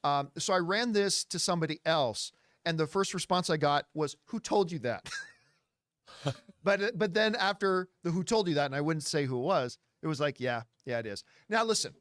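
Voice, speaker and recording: A man's voice: fairly high pitch, lively intonation, moderate pace, fairly bright tone, no echo, studio quality. A man talking to viewers as an entertainment news commentator, retelling conversations in an emphatic, dramatic way. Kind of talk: animated storytelling talk to viewers.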